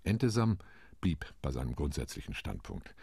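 Male actor as a middle-aged UN delegate for a radio drama: deep, raspy, steady reporting tone. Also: no echo, full character voice. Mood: measured. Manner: measured, reporting. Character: sober male diplomat recounting committee talks. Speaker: UN commission delegate. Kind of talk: radio play monologue.

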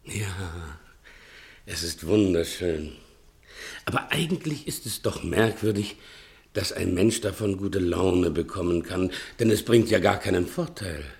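An actor playing an obsequious, chatty servant who muses ponderously and self-pityingly in a 1960s German radio play. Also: male voice, low voice, measured pace, gravelly, a little mellow. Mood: musing. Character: servile male servant, chatty philosophising tippler, self-pitying.

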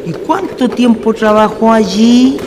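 A man's voice: very high voice, unhurried. A man playing an easygoing, plain-spoken Chilean country traveller in a radio drama, talking colloquially. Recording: noisy ambient radio drama recording.

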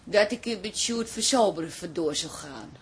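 An actress playing a frank, affectionate middle-aged wife in a radio drama, teasing and down-to-earth, with hesitant pauses. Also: female voice, fairly low pitch, animated, slight background noise, close to an everyday voice. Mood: frank, reassuring.

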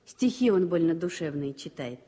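An actress playing a kindly wartime hospital nurse in a Soviet radio drama, speaking in a hushed, gentle way. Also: female voice, on the low side, expressive, moderate pace, close to an everyday voice. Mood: hushed, tender.

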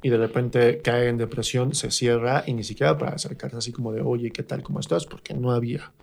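A man from Mexico with a Mexican accent, telling anecdotes reflectively as an interview guest and often pausing to search for words. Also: mid-range voice, level tone, creaky, darkish tone. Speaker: interview guest, performer and drama teacher. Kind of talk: conversational anecdote in a radio interview.

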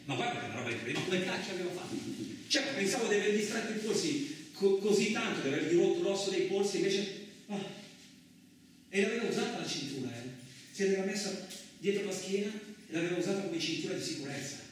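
A man's voice: high-pitched, lively intonation, fast talker. A man playing a boastful, hot-tempered truck driver, in a colloquial theatrical radio monologue full of muttered asides. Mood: angry, exasperated. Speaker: actor. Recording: very echoey, distant, lightly noisy room.

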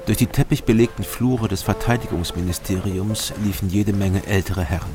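A man plays a wry investigator in a radio crime drama, fairly low in pitch. He is dry and understated but stubborn, with music clearly behind him. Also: moderate pace, close to an everyday voice. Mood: wry, reflective. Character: male civilian investigator and wry first-person narrator, stubborn. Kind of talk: radio drama narration and dialogue.

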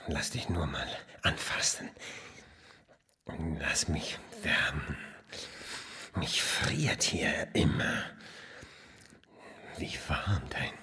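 Deep, whispering lecherous cripple. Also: male voice, big pitch swings, very slow, long pauses, sharp tone, exaggerated voice. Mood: lustful whispering. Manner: breathy, urgent whispering that breaks into shrill cries. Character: lecherous, greedy male cripple, pleading for warmth. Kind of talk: German radio play acting. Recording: long reverb with music behind.

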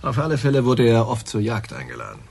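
A man playing a wry, knowing small-town police officer in a radio crime drama, talking in a measured, insinuating way. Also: medium pitch, huge pitch swings, gravelly, darkish tone, husky, heavily acted.

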